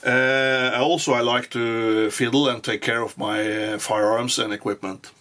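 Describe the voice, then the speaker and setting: Norwegian man halting through a review. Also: medium pitch, level tone, a bit bright. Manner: halting, many fillers and restarts. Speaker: gun reviewer. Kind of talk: casual product review.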